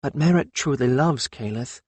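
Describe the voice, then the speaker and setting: A man's voice: medium pitch, normal speed, a little mellow, slightly rough, no room sound, lightly acted. A man voicing a grave, resolute young noblewoman with a wry, firm manner in an audiobook. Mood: fond, resolute. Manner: simple, firm, matter-of-fact.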